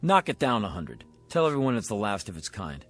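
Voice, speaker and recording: A man's voice: fairly low pitch, big pitch swings, a little fast. An American actor playing a blunt, folksy, wisecracking used car salesman who gets flustered, in 1960s television drama style. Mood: subdued. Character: fast-talking, flustered used car salesman blurting uncomfortable truths. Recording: old TV soundtrack with faint music.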